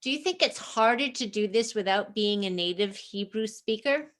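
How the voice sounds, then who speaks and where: A woman's voice: medium pitch, expressive, moderate pace, a bit bright. A woman moderating an academic question-and-answer session, putting questions to a speaker.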